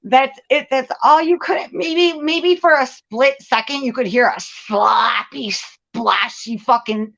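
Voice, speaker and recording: A woman's voice: sits high, slow pace, few pauses, a bit bright, dull audio. A woman venting candidly and casually as host, blunt and profane, leaning hard on repeated phrases for emphasis. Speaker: host of a live commentary show.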